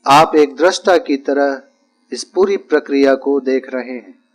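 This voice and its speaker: Slow-speaking man guiding yoga nidra. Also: medium pitch, faint music behind, rough recording. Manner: calm, deliberate, repeats phrases with pauses between short instructions. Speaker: yoga nidra instructor guiding a relaxation practice. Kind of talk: guided meditation instruction.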